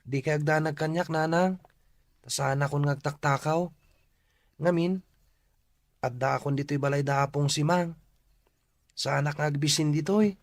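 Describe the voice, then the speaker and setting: A man's voice: a little high, fairly even intonation, moderate pace, long pauses, dry recording, lightly acted. A man playing an earnest, respectful young boy in an Ilocano radio drama. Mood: reassuring, earnest.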